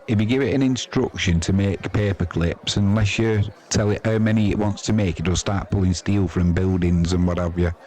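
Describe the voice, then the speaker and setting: A man's voice: deep voice, mellow. A man with an English accent giving casual cricket commentary in a chatty, dry-humoured, blunt and slangy way. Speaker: live sports commentator and host.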